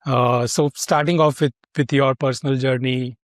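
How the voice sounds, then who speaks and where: Even-toned male interviewer, flat delivery. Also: medium pitch, moderate pace, no echo, studio quality. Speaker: interviewer, host. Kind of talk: prepared interview questions.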